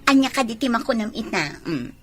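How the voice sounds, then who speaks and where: A woman voicing a flirtatious mistress with a high voice. She sweet-talks and teases coyly. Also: sing-song, fairly quick, over music.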